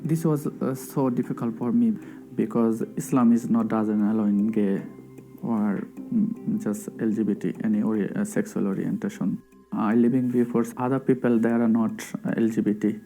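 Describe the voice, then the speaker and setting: Bangladeshi guy telling his story, broken english, lots of pauses, dark sound, faint music under it. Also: fairly low pitch, level tone, low-quality recording. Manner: halting, simple English with pauses. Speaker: asylum seeker interviewee. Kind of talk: documentary testimony.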